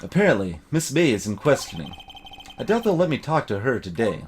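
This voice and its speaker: A man giving casual let's play commentary and reading dialogue, haltingly thinking aloud. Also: mid-range voice, huge pitch swings, a little hiss or hum. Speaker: let's player voicing game characters. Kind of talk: casual gameplay commentary mixed with read dialogue.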